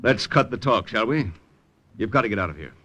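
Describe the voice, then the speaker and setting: An actor playing a tough, wry secret agent in old-time radio drama. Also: male voice, lowish voice, animated, a little fast, fairly bright tone. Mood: curt. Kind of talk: old-time radio drama, first-person narration and dialogue.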